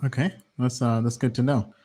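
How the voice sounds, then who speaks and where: A man's voice: medium pitch, fairly even intonation, moderate pace, mellow. A man hosting an interview show, asking questions casually and repeating answers back.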